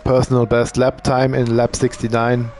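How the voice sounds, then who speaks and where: A man doing casual live commentary on a sim racing event. He thinks out loud as he talks and uses fillers. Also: medium pitch, moderate pace, a little hiss or hum. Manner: relaxed, thinking aloud, with fillers.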